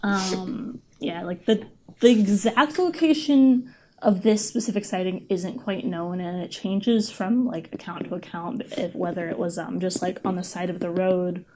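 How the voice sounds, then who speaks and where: A woman's voice: on the low side, measured pace, crowd noise. A woman guesting as a podcast co-host, telling a story in a casual, hesitant, unscripted way. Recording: clearly noisy recording with clicks.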